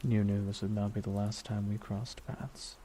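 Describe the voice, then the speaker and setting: A low-voiced, very mellow supervillain, acted by a man, weary with grief and bitterly vengeful. He speaks in a brooding ASMR roleplay monologue, leaving deliberate pauses. Rain and steady noise sit behind the voice. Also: close to an everyday voice. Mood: bitter, accusing. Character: grieving vengeful male supervillain, weary, menacing, softly bitter.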